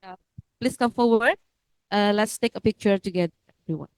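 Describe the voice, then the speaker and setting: A woman's voice: medium pitch, brisk, smooth. A woman emcee at a seminar in a hall, repeatedly calling people forward for a group photo with many fillers. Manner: repetitive, inviting, with fillers. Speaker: master of ceremonies. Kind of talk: event announcements.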